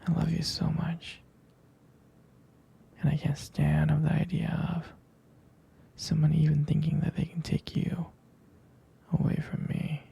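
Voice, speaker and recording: Husky whispering boyfriend, acted by a man, slow and intimate. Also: a little high, monotone, warm tone, heavily acted. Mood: tender jealousy. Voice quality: whispery, breathy, husky, gravelly. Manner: hesitant, intimate, murmured. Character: jealous, protective, tender boyfriend consoling his partner. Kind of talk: ASMR boyfriend roleplay.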